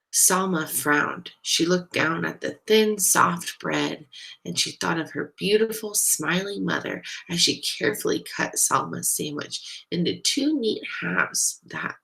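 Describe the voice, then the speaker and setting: A woman reading a picture book aloud to young children in an expressive, deliberate way, as a storyteller. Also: on the low side, vocal fry, low-quality recording. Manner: animated, deliberate, pauses to ask listeners questions.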